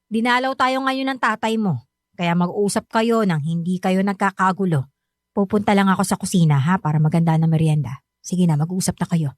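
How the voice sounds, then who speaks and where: A woman's voice: lowish voice, fairly quick, no echo, studio quality, lightly acted. An actress playing a middle-aged mother in a radio drama, firm, coaxing and insistent.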